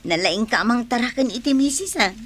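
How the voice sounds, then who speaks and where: A woman's voice: on the high side, expressive, fairly quick, a bit bright, a little hiss or hum. A woman with an Ilocano accent playing a gossipy, teasing friend in a radio drama. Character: gossipy, teasing female friend of a driver, a parent.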